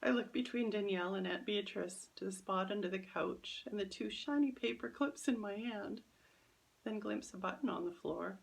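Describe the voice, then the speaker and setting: A woman reading her own children's story as expressive, careful, dramatic narration. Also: mid-range voice, normal speed, vocal fry, rough recording.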